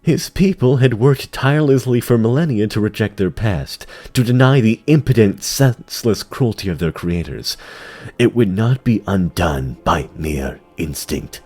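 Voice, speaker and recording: Low-voiced man, very expressive fantasy narration. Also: husky. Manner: grave, deliberate, theatrical. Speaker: narrator of a fan audio drama. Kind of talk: dramatic scripted fantasy narration.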